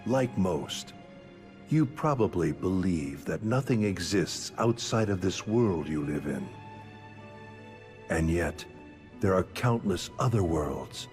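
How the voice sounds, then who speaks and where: An actor playing a sinister, solemn older scholar in a video game, speaking in a grave, portentous manner. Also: male voice, low voice, lively intonation, takes it slowly, darkish tone, faint music behind. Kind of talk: scripted video game cutscene acting.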